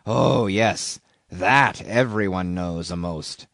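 A man's voice: sits low, slow, gravelly, a bit bright, no room sound, clean studio sound, voice pushed down, exaggerated voice. A man voicing a shabby old sailor in an audiobook, an ominous, derisive stranger who talks insistently in archaic seafaring speech. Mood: ominous, needling. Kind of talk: audiobook character reading.

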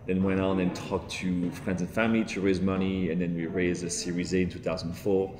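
A man with a French accent speaks in a low, grounded voice, steady and fluent with the occasional um and repeat. He sits in a large open-plan office, and the sound rings with a long, roomy reverb. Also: a little fast, background noise. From France. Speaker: entrepreneur guest. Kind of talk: seated interview, telling his story.

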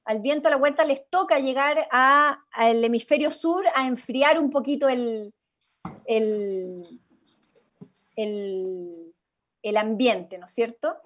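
A young woman with a Chilean accent and a high-pitched voice. She guides a group informally and encouragingly, speaking from indoors over a video call. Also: takes it slowly, fairly bright tone. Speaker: radio theatre workshop leader. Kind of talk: informal guiding and instruction.

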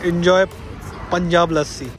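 A man's voice: high-pitched, flat delivery, a little slow, loud music behind the voice. A young man vlogging a night road trip, chatting with friends and addressing viewers directly. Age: young adult. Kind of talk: casual travel vlog. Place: roadside at night, outdoors. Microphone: phone camera at arm's length.

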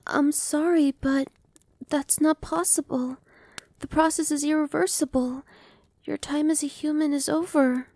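A woman's voice: high voice, no echo. A woman playing a sweet newborn vampire girl. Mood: reassuring. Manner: soft, reassuring, playful, drops to whispers. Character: cheerful young female vampire, newly turned, friendly. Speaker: voice actress. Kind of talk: ASMR roleplay.

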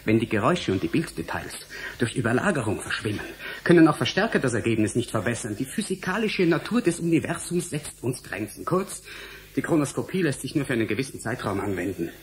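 A man's voice: medium pitch, big pitch swings, fast, natural voice. A male actor plays an earnest young scientist in a radio drama. He is blunt and impatient, explaining emphatically in a mid-range voice. Faint background noise sits under the dialogue. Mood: resigned, firm. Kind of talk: German radio drama acting.

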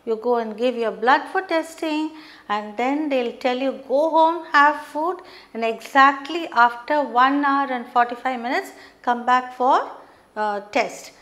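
A middle-aged woman teaching a beginners' lesson at a whiteboard, explaining terms slowly and checking in with frequent "okay". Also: high-pitched, a bit bright. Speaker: English teacher. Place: studio classroom with whiteboard.

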